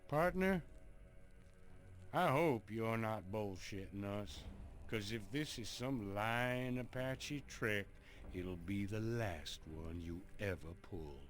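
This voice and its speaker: An actor playing a suspicious, hot-headed Old West gunslinger in a video game, with a threatening drawl. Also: male voice, on the low side, takes it slowly, faint music behind. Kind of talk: dramatic video game cutscene acting.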